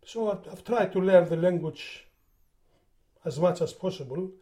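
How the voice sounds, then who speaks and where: Older Greek-born man, accented English, higher pitched with a warm dark tone, halting reminiscence. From Greece. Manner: halting, repeats words while recalling. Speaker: interviewee. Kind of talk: oral history interview.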